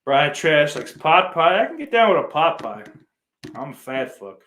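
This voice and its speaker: A man's voice: on the high side, huge pitch swings, moderate pace, bright, forceful tone. A man hosting a podcast, chatting casually and answering listener comments in a blunt, profane way. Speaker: podcast host.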